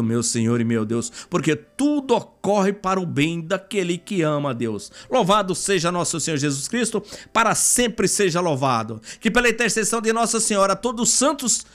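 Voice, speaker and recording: A Brazilian man with a notably high voice leads an extemporaneous prayer. He pleads fervently, punctuating his phrases with repeated invocations. Music plays behind him. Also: fairly bright tone.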